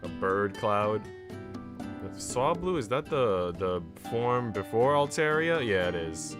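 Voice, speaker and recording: A low-pitched man hosts a casual live stream with guitar music clearly playing behind him. He reads visual novel text aloud and chats in slang, joking and swearing freely. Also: sing-song, slow pace. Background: plucked guitar music.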